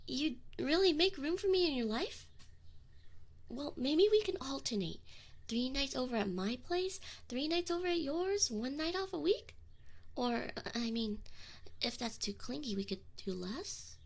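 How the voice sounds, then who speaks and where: A woman's voice: sits high, expressive. A woman playing a young tsundere succubus in an intimate ASMR roleplay, prickly and defensive, with affection and neediness showing through. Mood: earnest, tender. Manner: defensive, teasing, halting when admitting feelings. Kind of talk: scripted ASMR roleplay acting, intimate direct address.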